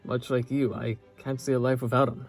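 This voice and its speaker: A man playing a loyal young knight in love with his princess, speaking gently and earnestly with halting pauses in a romantic audio roleplay. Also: mid-range voice, little pitch movement, close to an everyday voice. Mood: fond, earnest. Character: loyal young knight, the princess's tender childhood-friend lover. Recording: orchestral music behind the voice.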